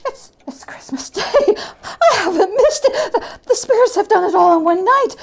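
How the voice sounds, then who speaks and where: A woman's voice: extremely high pitch, lively intonation, normal speed, creaky, voice pushed up, heavily acted. A woman playing a repentant old miser man in a dramatic storybook reading, stammering and exclaiming with overwrought emotion. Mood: giddy, overjoyed. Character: repentant old miser man, pleading then giddy with joy.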